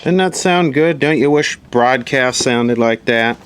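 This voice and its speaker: A man's voice: medium pitch, a little slow, a little hiss or hum. A man giving casual troubleshooting commentary as he repairs electronics, musing aloud and asking himself questions. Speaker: electronics repair hobbyist presenter.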